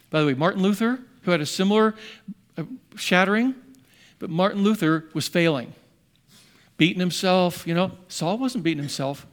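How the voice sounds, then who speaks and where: High-voiced animated preacher. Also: male voice. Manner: animated, voices the apostle in first person with rising emphasis. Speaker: pastor. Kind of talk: sermon.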